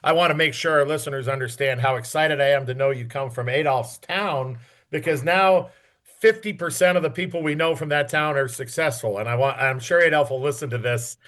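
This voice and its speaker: A man interviewing a guest on a podcast in a conversational, encouraging and deliberate way. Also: mid-range voice, fairly bright tone.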